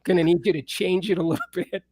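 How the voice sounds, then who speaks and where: A man with a high speaking voice in a casual, playful, teasing chat as he hosts another musician. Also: steady tone, quick pace, darkish tone, slightly rough, dry recording.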